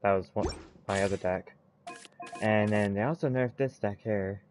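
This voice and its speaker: A man giving casual gameplay commentary, haltingly thinking aloud as he goes. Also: lowish voice, darkish tone, soft background music. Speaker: gaming video creator. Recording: clear background noise, mouse clicks. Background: noise and clicks.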